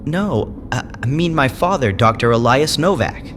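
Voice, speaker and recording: A man playing an earnest, bewildered young space adventurer in an audio drama, halting and incredulous. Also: mid-range voice, animated, over music, lightly acted. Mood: hesitant, earnest. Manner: halting, incredulous, stammering questions. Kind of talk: full-cast audio drama acting. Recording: clear noise bed behind the voice.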